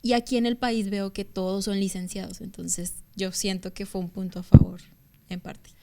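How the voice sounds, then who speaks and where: A woman's voice: mid-range voice, level tone, normal speed, mellow. A woman in her thirties from Bogotá with a Bogotá Colombian accent, talking as a guest on a television interview, chatty and full of hesitant fillers and restarts. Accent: Bogotá Colombian Spanish. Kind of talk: conversational interview testimony. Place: TV studio.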